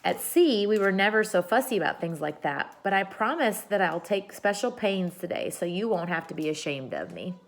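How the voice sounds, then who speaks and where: A woman voicing a bold, unruly, excitable young girl in a storybook, with an emphatic, boastful way of talking. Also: medium pitch, moderate pace, hardly any pauses. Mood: excited, nervous.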